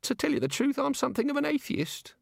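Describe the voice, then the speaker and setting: A man voicing a tiny, fussy old male god who swings between pompous threats and gloomy deflation, in a high, quavering, drawn-out voice. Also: sing-song, creaky, no echo, studio quality, exaggerated voice.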